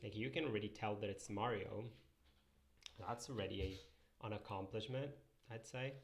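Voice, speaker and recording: A young man, Italian by first language, chats loosely over his pixel art stream. His voice sits low with a gravelly fry. Soft music plays faintly behind him. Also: normal speed. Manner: rambling, thinks aloud, reads and answers chat. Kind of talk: casual live-stream chat while drawing.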